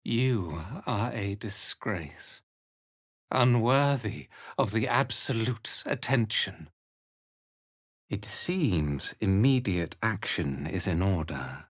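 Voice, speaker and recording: An actor playing a cold, zealous cult commander in a fantasy video game, speaking in a measured, theatrical and disdainful way. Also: male voice, fairly low pitch, animated, a bit bright, no echo, clean studio sound, exaggerated voice. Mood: commanding. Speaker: villainous military commander.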